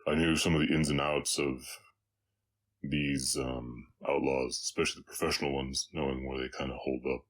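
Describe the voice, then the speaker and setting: An extremely low-voiced orc cowboy, acted by a man, talks with a slight Southern drawl. He is weary and gentle, pausing often as he reflects, as if confiding in one listener in an intimate audio drama. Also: flat delivery. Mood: reflective, subdued. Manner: halting, reflective, soft-hearted, pausing often.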